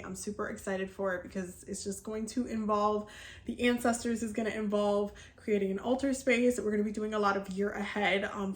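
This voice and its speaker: A woman from the Dominican Republic talking casually to her viewers as a herbalism and energy healing teacher, chatty and upbeat with lots of fillers and little laughs. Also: mid-range voice. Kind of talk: casual video chat to viewers. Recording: echoey room.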